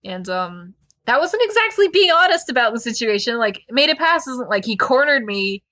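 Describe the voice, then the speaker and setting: Bright-voiced woman hosting a casual podcast. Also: fairly high pitch, big pitch swings, moderate pace. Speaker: podcast host. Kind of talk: casual book discussion, reading out quotes.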